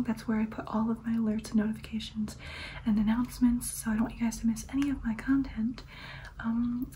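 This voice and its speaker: A young woman speaking in a breathy, soft ASMR whisper, close to the mic in a small room. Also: a little high, a little hiss or hum. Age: young adult. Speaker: ASMR creator.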